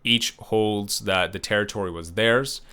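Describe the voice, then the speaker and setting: A man's voice: on the low side, a little slow, sharp tone, smooth. A man giving a recorded lecture in a loose, conversational way, full of fillers, restarts and self-corrections. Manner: unsure about names, self-correcting. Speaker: professor.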